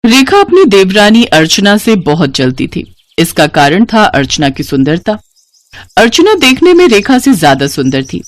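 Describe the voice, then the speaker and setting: A woman narrates a scripted family story. Her pitch is in the middle range for a woman. The sound is dry, with little room echo. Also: huge pitch swings, moderate pace.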